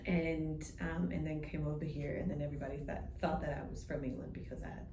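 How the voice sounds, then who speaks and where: A woman's voice: sits low, fairly even intonation, fast talker, dark tone, echoey room, a little hiss or hum. A woman in her thirties from Germany, with a German accent tinged with a New Zealand accent, telling her story in casual chat with many ums. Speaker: guest.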